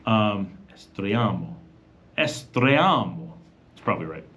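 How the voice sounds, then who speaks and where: Man, relaxed livestream host, chatty, reads comments out, casual and loose. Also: lowish voice, takes it slowly. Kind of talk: casual live Q&A chat.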